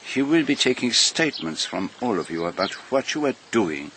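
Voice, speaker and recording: An actor playing a shrewd, vain and courteous foreign detective in a radio mystery, speaking formally and deliberately with pointed questions. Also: male voice, medium pitch, very animated, a bit bright, a little hiss or hum. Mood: gallant, wry. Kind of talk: British radio mystery drama acting.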